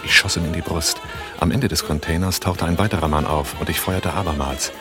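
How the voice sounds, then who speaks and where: An actor in a German radio drama playing a cool, controlled gunrunner, terse and matter-of-fact. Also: male voice, low-pitched, steady tone, a little fast, music in the background, natural voice. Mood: tense, controlled. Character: Altmaier, cool, controlled male gunrunner who takes command. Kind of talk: German radio drama acting and first-person narration.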